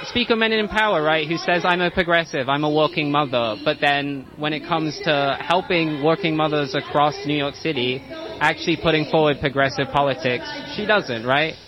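A woman's voice: low voice, moderate pace, highs cut off. A woman campaign organizer speaking in a conversational, pointed way during an interview.

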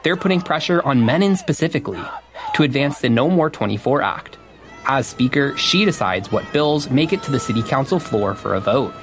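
A man reporting from the field in a measured, scripted news delivery. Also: mid-range voice, expressive, noisy background. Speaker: radio reporter. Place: on location outdoors at a protest.

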